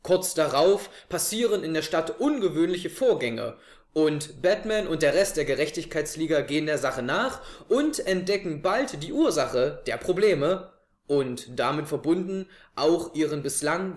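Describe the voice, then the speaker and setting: A man's voice: a little high, very animated, measured pace. A man in his twenties presenting a review show to camera from a living room. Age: 20s. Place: small living room, indoors on a couch. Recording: echoey room.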